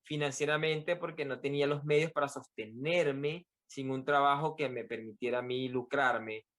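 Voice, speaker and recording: A Venezuelan man with a mid-pitched voice gives a personal account as a podcast guest. His accent is Venezuelan. He is reflective and somewhat halting, repeating words while he finds the next thought. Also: steady tone, fairly bright tone.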